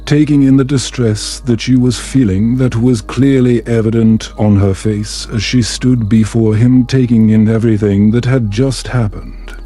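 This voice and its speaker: A man giving a calm, soothing, measured narration of a bedtime tale. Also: mid-range voice. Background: background music.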